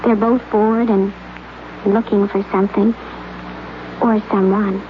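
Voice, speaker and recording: A young actress plays a gentle, trusting young woman who speaks softly and stumbles over her words in a 1950s radio drama. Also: medium pitch, little pitch movement, a little slow, natural voice. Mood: tender. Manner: hesitant, soft-spoken, stumbles over words. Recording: tinny, hissy old radio broadcast.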